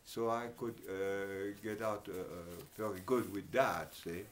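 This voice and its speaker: An older man with a French accent, recalling his past in an interview, speaking haltingly with many pauses and fillers. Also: fairly low pitch, steady tone, slight background noise. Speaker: oral history interviewee. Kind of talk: reminiscing in an interview.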